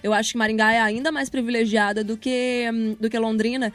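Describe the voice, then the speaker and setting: Higher-voiced woman, chatty. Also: fairly even intonation, a little fast. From Paraná, Brazil. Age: 30s. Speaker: interview guest, radio announcer and journalist. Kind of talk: conversational interview.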